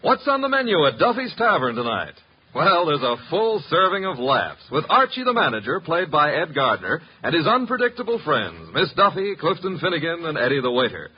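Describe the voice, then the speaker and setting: A man's voice: on the high side, wildly swooping pitch, brisk, husky. Swooping, emphatic male radio announcer. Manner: emphatic, grandly formal. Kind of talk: 1950s American radio announcing, scripted promo. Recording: muffled 1950s radio broadcast recording.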